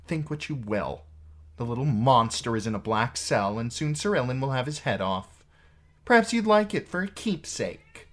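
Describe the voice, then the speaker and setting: A man plays a highborn woman from a storybook, proud and mocking. The voice is lifted above his own and swoops on taunting emphasis, full of bitter scorn. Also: sing-song, moderate pace, a bit bright. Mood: mocking, scornful.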